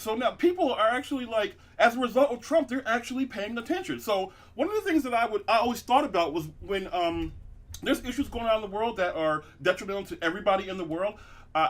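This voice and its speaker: A man hosting a loose comedy podcast, his voice bright and a little high for a man. He rambles through his points with plenty of fillers, restarts and laughs. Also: expressive, quick pace. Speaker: podcast host. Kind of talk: casual comedic chat.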